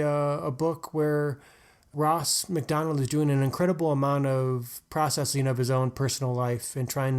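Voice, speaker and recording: A man co-hosting a podcast, chatting about an author's life in a casual, conversational way, with frequent "like" and "uh". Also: medium pitch. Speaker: podcast co-host. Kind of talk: casual book discussion.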